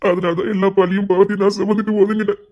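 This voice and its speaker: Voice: medium pitch, level tone, very fast, muffled recording, close to an everyday voice. An actor in an emotional family melodrama playing an anguished grown child, pleading repetitively and overwrought with fear for a father.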